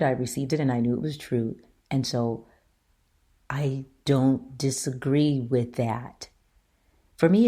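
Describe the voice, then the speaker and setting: A middle-aged woman with a deep voice hosting her own talk show, speaking earnestly and emphatically to her listeners. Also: dark tone. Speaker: show host. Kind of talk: solo opinion talk.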